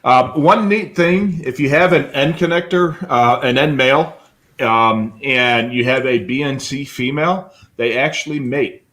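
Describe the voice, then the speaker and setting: A man's voice: mid-range voice, measured pace, fairly bright tone. A man co-hosting a live hobby show, casually explaining technical details in a plainspoken, practical way. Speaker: show host.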